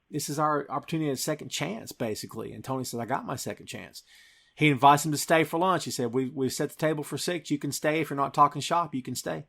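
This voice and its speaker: A man hosting a podcast, chatting casually about movies in an animated, emphatic, slangy way. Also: mid-range voice. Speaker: podcast host. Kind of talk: casual movie review chat.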